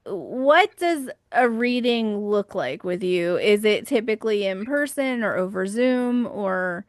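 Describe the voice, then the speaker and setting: A woman's voice: medium pitch, animated, takes it slowly, no room sound, studio quality. A woman hosting a podcast interview, chatting casually and supportively, laughing and agreeing often. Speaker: podcast host.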